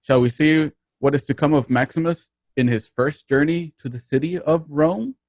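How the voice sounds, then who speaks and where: A man's voice: fairly high pitch, moderate pace, no room sound, studio quality. A man hosting an audio drama show, introducing it in a formal announcing style. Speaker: host of radio drama show.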